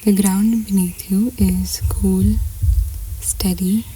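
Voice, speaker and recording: A woman leading a scripted guided meditation in a soft, breathy voice that often nears a whisper, unhurried and measured with pauses between short phrases. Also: mid-range voice, fairly even intonation, slight background noise, poor audio.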